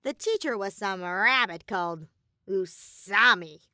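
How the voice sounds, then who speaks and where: A woman's voice: mid-range voice, lively intonation, unhurried, pushed and bright, no room sound, studio quality. A woman voicing a mischievous, grumpy robotic bear villain with a flippant, cartoonish delivery, in a fan story reading. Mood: grumbling.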